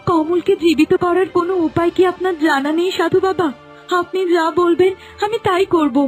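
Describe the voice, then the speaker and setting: A woman's voice: very high voice, fairly even intonation, moderate pace. A woman playing a devoted, heartbroken young woman in a melodramatic cartoon, with a plaintive, dramatic delivery. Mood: pleading. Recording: faint music under the voice.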